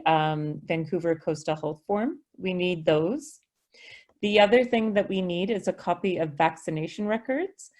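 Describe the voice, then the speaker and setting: A woman's voice: lowish voice, a little mellow. A woman, a school district representative answering questions on an online video call in a patient, explanatory way. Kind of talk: webinar Q&A, reading and answering questions.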